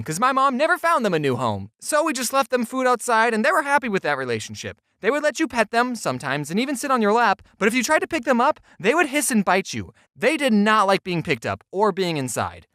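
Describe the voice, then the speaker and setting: Playful storyteller with a lively, swooping delivery. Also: medium pitch, no echo, studio quality. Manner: playful, self-deprecating, with deadpan asides and acted quotes. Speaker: storyteller narrator. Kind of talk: scripted comedic personal storytelling narration.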